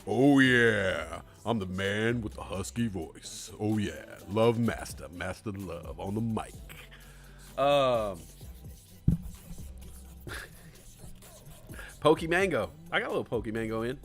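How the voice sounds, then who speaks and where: A man's voice: animated, slow, gravelly, pauses often, faint music behind. A young man, hosting a live stream in a loose, profane, rambling chat full of jokes. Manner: profane, rambling, jokey, swings from low mumbles to loud shouts. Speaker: livestream host.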